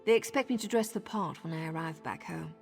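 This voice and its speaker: A woman voicing a blunt, scornful dark elf assassin in a game. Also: low-pitched, a little fast, vocal fry, in a large hall, faint music behind. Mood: wry.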